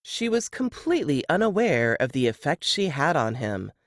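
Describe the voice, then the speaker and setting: Low-voiced woman reading fiction, expressive. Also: moderate pace, no echo, studio quality. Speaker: novelist reading their work. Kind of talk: scripted fiction narration, read aloud.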